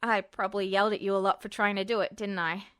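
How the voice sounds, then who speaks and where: Wry young man, acted by a woman, casual, halting, protective big brother, lower than the narration, storytelling. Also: steady tone, a bit bright, clear, resonant tone, no echo, clean studio sound, close to an everyday voice. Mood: wry, fond.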